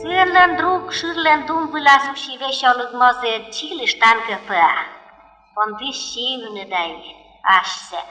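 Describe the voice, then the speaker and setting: A woman's voice: high-pitched, slow, sharp tone, soft background music. A woman telling a traditional folk tale, recorded from a distance in an echoing space. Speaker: folk storyteller. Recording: echoey hall, distant microphone.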